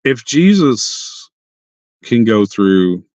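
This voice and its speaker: A hoarse young man hosting a casual livestream chat from his parked car, rambling and often restarting his sentences. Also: on the low side, big pitch swings, extremely slow, darkish tone, dry recording, dull audio. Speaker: livestream host.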